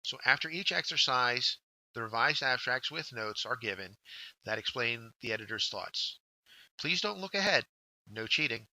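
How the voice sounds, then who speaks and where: Bright, crisp-toned male workshop instructor. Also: medium pitch, lively intonation, normal speed, no room sound, low-quality recording. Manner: step-by-step, instructive, addresses learners directly. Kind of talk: scripted instructional narration.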